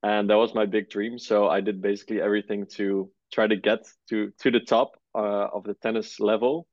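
A man's voice: lowish voice, little pitch movement, fairly quick, a little mellow, no echo. Easygoing young man, dull audio. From Netherlands. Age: 20s. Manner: easygoing, many "uh" fillers. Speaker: guest, startup co-founder. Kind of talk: relaxed conversational interview.

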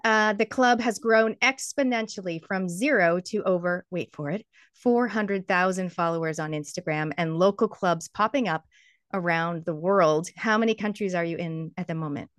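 A woman's voice: on the low side, moderate pace, dry recording, studio quality. A woman hosting a podcast interview in an upbeat, enthusiastic way, from New Zealand. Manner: bubbly and enthusiastic. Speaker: podcast host.

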